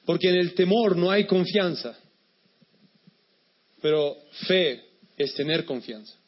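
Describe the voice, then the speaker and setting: A man's voice: sits high, slow pace. A man from Chile with a mixed Chilean, Ecuadorian and Uruguayan accent, preaching a sermon to a church congregation in an easygoing, encouraging way. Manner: relaxed, then encouraging and preachy. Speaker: guest pastor. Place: church in Quito. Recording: dull lo-fi sound.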